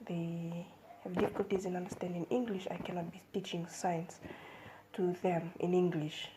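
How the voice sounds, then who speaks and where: A woman's voice: lowish voice, level tone, background noise. Woman giving a seminar talk. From Fiji. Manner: measured, hesitant with fillers and restarts. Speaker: student presenter. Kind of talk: seminar presentation.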